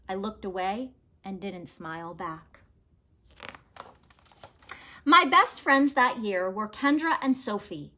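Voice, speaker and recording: A woman reads a children's story aloud with a very bright, clear-cut tone. Her pitch sits fairly high, and she takes her time, shaping the phrases expressively like a storyteller with a picture book.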